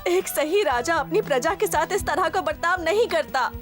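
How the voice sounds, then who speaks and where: A woman playing a caring, spirited young girl in a cartoon, with an earnest, expressive delivery. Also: extremely high pitch, moderate pace. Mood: righteous. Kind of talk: animated cartoon dub acting. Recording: loud music bed under the voice.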